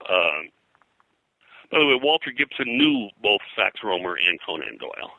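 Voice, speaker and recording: Low, bright-voiced American man, rambling storyteller. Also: very animated, normal speed, creaky, dry recording, poor audio. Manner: rambling, digressive, detail-heavy. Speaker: interview guest, pop culture historian and publisher. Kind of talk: conversational interview, telling detailed anecdotes.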